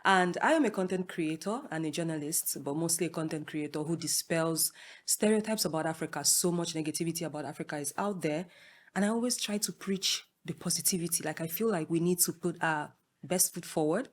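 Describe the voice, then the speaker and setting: A woman's voice: low-pitched, fairly quick. A young woman interviewer and content creator, hosting in a friendly, chatty, upbeat way.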